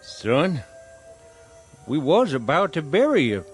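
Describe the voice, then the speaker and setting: An actor playing a solemn, folksy country preacher in a radio drama, with a rural American accent. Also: male voice, a little high, big pitch swings, slow pace, faint music behind. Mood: awkward, explaining.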